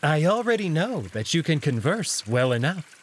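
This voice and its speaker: A man's voice: fairly high pitch, very animated, normal speed, a little hiss or hum, voice pushed up. A man voicing a sly anthropomorphic jackal noble who talks in a mock-polite, taunting way for a visual novel game. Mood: mock-polite, probing.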